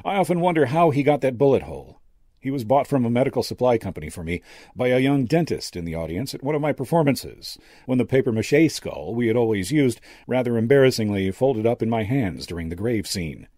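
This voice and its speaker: A man voicing a courtly, wistful old stage medium, higher-pitched. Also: expressive, fairly quick, no room sound, clean studio sound, close to an everyday voice. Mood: amused, confiding. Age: older. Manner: courtly, gentle, theatrical, rambling. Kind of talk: character dialogue in an audiobook story.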